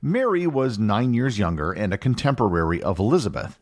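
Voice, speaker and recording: A man hosting a history podcast, reading a scripted narration in a measured storytelling manner. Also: very animated, a little mellow. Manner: measured storytelling with wide pitch swings. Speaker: podcast host.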